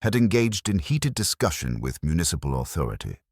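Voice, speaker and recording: A man narrating a scripted detective mystery in a formal, measured storytelling manner. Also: low-pitched, very animated, dry recording, clean studio sound.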